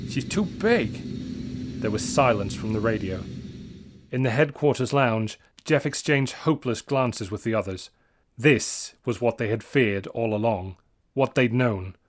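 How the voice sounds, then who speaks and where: A man reads a scripted adventure tale as narrator. His delivery is dramatic, at a middle pitch. Clear vehicle-like noise runs behind the voice.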